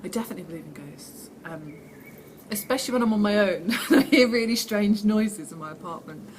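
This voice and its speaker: A woman's voice: mid-range voice, a little fast. A woman actress giving casual, chatty interview answers in a self-deprecating way, reading the questions out herself. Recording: steady background noise.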